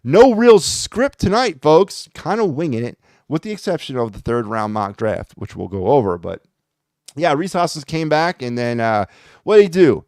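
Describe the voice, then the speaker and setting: A man's voice: fairly high pitch, expressive, moderate pace, darkish tone, no echo, studio quality. A man hosting a solo sports podcast, talking in a rambling, blunt and sarcastic way with lots of fillers. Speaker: podcast host.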